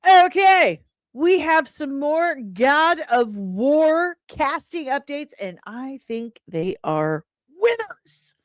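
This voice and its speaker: Chatty woman host, slow-paced and dry-sounding. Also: sits high, sing-song, dry recording. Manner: playful, chatty, irreverent, with pet names and slang. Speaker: host of an entertainment news livestream. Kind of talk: casual commentary, reading and answering live chat comments.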